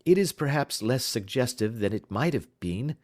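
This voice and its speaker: A man voicing a brilliant, self-assured detective in an audiobook, lecturing crisply and precisely with a teasing edge. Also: medium pitch, lively intonation, moderate pace, darkish tone, natural voice. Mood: analytical, assured. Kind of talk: audiobook character dialogue.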